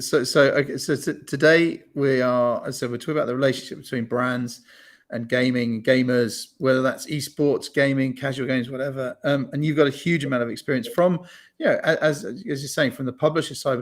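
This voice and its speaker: A man hosting an online interview, brisk and upbeat, often stumbling into restarts as he frames his questions. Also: medium pitch, steady tone. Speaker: host.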